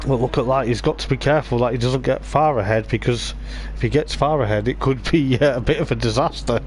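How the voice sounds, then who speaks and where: A man with a voice going hoarse, giving rambling live race commentary on a stream, with lots of hesitant fillers. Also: medium pitch, quick pace, a little hiss or hum. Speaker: sim racing league commentator.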